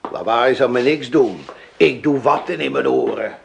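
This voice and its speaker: An actor playing a sly, calculating old village boss in a radio drama, telling things in a deliberate, theatrical way. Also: male voice, mid-range voice, gravelly, heavy background noise. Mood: grave, knowing. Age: older.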